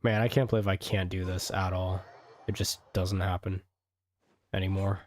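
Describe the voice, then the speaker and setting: A man's voice: sits low, flat delivery, normal speed, a little mellow. A man streaming a game, chatting casually and musing to himself with some mild swearing. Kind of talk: casual stream chat while playing. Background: faint game music.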